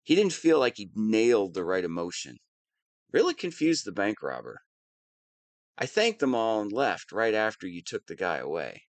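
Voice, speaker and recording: A man playing a whiny, hapless, soft-hearted wannabe super-villain in a scripted audio roleplay, self-pitying and prone to dramatic swings. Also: on the low side, very animated, normal speed, no room sound. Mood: animated, bemused. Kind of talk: scripted one-sided audio roleplay monologue.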